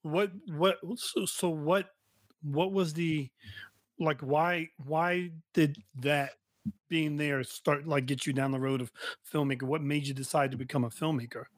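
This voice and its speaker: A man's voice: on the high side, fairly even intonation, a little slow, dry recording. A man hosting a podcast interview, asking rambling questions full of restarts and filler words. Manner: rambling, with many restarts, likes and ums. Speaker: podcast host.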